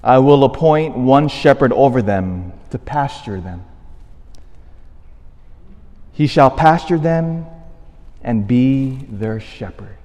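A man, a priest, giving a homily with a storytelling manner, dropping to a hush and rising to animated emphasis. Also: mid-range voice, slow, long pauses. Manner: storytelling, swings from hushed to animated. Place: church. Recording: long reverb of a church.

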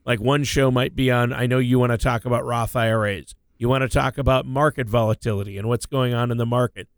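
A man's voice: medium pitch, fairly even intonation, fairly quick, clean tone, dry recording. A man co-hosting a radio show, upbeat and agreeable, steering the talk with questions. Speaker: radio co-host.

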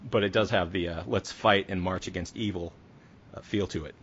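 A man's voice: sits low, steady tone, a little fast, highs cut off, slight background noise. A man working as a podcast interviewer, asking questions in a conversational style.

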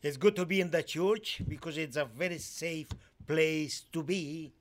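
A Romanian-born man speaking in halting, broken English with many fillers, giving a sermon testimony in a church. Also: fairly high pitch. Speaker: guest preacher.

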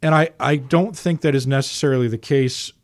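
A man's voice: mid-range voice, brisk. A man from Scotland, talking in a digressive, explanatory way in a casual discussion. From Dundee, Scotland. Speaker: discussion participant.

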